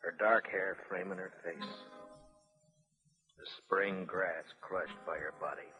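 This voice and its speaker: An actor playing a grave, brooding western narrator in a 1950s radio drama, with a measured, dramatic delivery. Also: male voice, sits low, steady tone, pushed and bright, soft background music, full character voice. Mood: sombre, hushed. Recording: vintage radio recording.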